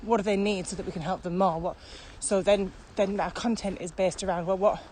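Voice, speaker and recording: A woman's voice: medium pitch, fairly even intonation, brisk, a little mellow. Woman chatting outdoors over ambient noise. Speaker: podcast co-host. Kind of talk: casual walking podcast chat. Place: walking outdoors across open fields.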